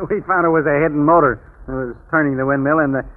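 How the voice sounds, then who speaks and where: An actor as a high-voiced, folksy police inspector. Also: male voice, close to an everyday voice. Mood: reminiscing. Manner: folksy, blunt, slightly slow-witted. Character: plain-spoken, easily flattered, grumbling male police inspector. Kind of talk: 1940s radio drama acting. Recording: muffled vintage 1940s radio recording.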